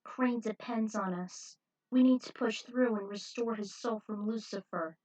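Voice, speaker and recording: A woman's voice: a little high, unhurried, darkish tone, distant mic. A woman voicing a resolute female team leader, earnest and firm, in a story reading. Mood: earnest resolve. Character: steady, resolute woman leader consoling a teammate. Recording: dull, muffled lo-fi audio.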